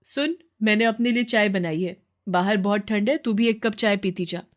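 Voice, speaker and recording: A woman voicing a proud, bossy but kindly employer lady, commanding and brisk, in a moral story. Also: mid-range voice, lightly acted. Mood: obliging.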